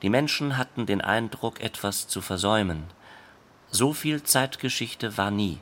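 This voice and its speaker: A man reading scripted literary narration in a measured, deliberate way. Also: lowish voice, animated, fairly bright tone. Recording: clear background noise.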